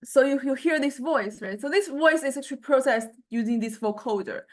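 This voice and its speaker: A woman giving an informal, explanatory lecture, often searching for words and checking in with listeners as she goes. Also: high-pitched. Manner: halting, searching for words, frequent checking "right?".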